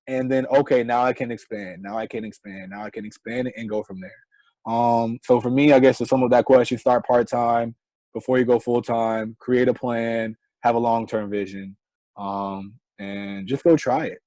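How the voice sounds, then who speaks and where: A man's voice: medium pitch, steady tone, moderate pace, warm tone, no room sound. A man in his twenties, a guest entrepreneur on an online interview, giving earnest advice and often tagging lines with "right?". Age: 20s. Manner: earnest, repeats "right?" as a tag.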